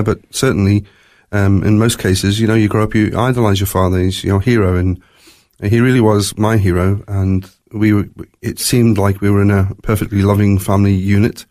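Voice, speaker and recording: A man's voice: on the low side, a little mellow. A man with a faint Scottish accent telling his personal story as an interview guest, in a reflective, conversational way. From born in Scotland, moved to South Africa at three.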